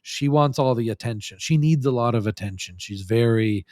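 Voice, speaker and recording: A man's voice: mid-range voice, moderate pace, a little mellow, no echo, clean studio sound. A man talking casually and frankly as a podcast guest, in a loose, rambling way. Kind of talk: casual candid chat.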